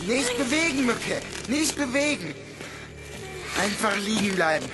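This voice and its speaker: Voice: mid-range voice, sing-song, measured pace, loud music behind the voice. A performer playing a brave, reassuring young boy, encouraging and urgent, in an audio drama. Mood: urgent, steadying.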